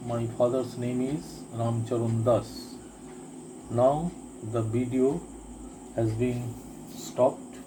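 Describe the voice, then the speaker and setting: A man teaching a spoken lesson, reading each drill sentence twice with deliberate care. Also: mid-range voice, background noise. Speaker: English teacher. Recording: crickets and insects chirping behind.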